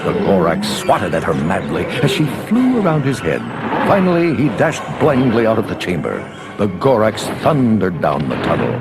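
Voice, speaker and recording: Deep-voiced man narrating a children's adventure. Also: huge pitch swings, moderate pace, over loud music. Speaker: storybook narrator. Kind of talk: dramatic scripted children's narration.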